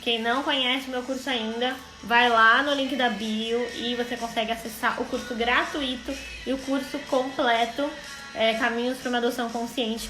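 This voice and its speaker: A Brazilian woman hosts a live stream in a high, bright, forward voice. She is chatty and welcoming, speaking casually to people as they join. Music sits faintly with her voice. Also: reverberant, rough recording. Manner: chatty, welcoming viewers by name. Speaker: live stream host, clinical psychologist. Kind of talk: casual live chat, greeting viewers.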